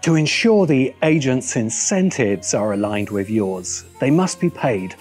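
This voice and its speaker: A middle-aged man narrating a scripted video essay in a measured way, with deliberate emphatic pauses. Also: medium pitch, very animated, a little mellow, slightly rough, soft background music.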